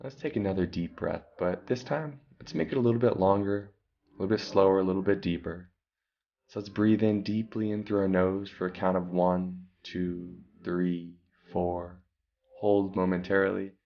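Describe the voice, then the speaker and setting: A very low, gravelly male voice leads a guided meditation, speaking calmly and slowly. He gives breathing instructions with long pauses between them. The recording sounds slightly dull and lo-fi.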